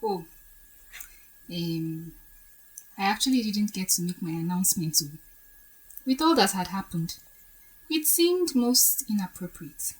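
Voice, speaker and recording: A woman's voice: mid-range voice, animated, a little slow, long pauses, lightly acted, poor audio. An actress playing a bride-to-be gossiping with friends in an audio drama, telling her story chattily with little interjections. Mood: sheepish.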